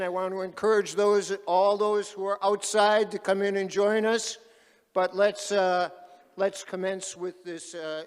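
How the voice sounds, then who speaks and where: A man moderating a panel at an activist conference, speaking loudly and calling out to the crowd like a rally speaker. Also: clear, resonant tone. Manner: loud, booming, calls out to the crowd. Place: large conference hall. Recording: reverberant hall.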